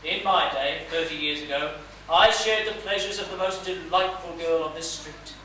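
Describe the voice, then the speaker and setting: A man's voice: fairly high pitch, normal speed, bright, forceful tone. A man playing a fussy but tender middle-aged father in a stage comedy, with courteous, florid, projected delivery. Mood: blustering, coaxing. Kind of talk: live comedic stage play acting. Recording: echoey theatre stage, distant mic, noisy.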